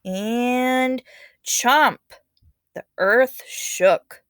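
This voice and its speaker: A woman's voice: fairly high pitch, extremely slow, fairly bright tone, clean tone, no echo, clean studio sound. A woman speaking as a teacher, reading a story aloud expressively and talking to students in an instructive, casual way. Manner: instructive, checks in with okay and all right.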